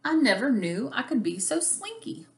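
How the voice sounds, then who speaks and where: A woman voicing a playful, easily startled storybook octopus with an expressive, exclaiming delivery. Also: a little high, moderate pace, echoey room, voice pushed up. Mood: surprised, pleased.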